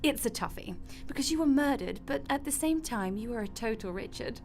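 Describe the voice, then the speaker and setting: A female God played by a woman in a radio drama, speaking in a rather high voice. She is glib and chatty, explaining the afterlife like a matter-of-fact manager. Music plays faintly underneath. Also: expressive, a little fast, darkish tone. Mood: flippant, exasperated.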